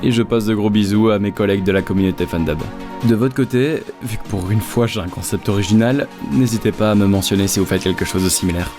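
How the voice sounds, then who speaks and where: A man's voice: fairly low pitch, quick pace, music in the background. A man giving a casual thank-you message to viewers as an amateur voice actor.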